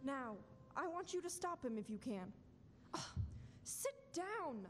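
Young woman as high-voiced heavenly superintendent, echoing hall. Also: very animated, takes it slowly, exaggerated voice. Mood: calm, instructive. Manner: instructive storytelling. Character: calm, authoritative superintendent of angels. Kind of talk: stage radio-play acting. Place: school auditorium stage, standing at mic. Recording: reverberant noisy hall.

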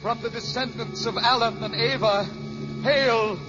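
Casting: grand, reverent last man of his race, unhurried, vintage radio sound under loud music. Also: very high-pitched, steady tone. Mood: solemn farewell. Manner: grand, ceremonial, declaiming. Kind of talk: 1950s radio drama acting. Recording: old muffled radio recording, loud music.

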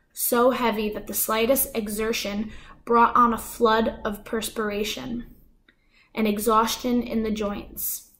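A young woman calmly reading a children's novel aloud at home, with gentle voices for the dialogue. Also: fairly high pitch. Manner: calm, gentle storytelling, soft dialogue voices. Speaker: guest reader. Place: living room at home.

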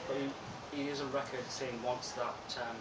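A soft-spoken young man giving an informal lecture, relaxed and chatty with frequent ums. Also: mid-range voice, moderate pace. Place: lecture room with projector, indoors. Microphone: far room camera. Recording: echoey room from far off with loud hiss.

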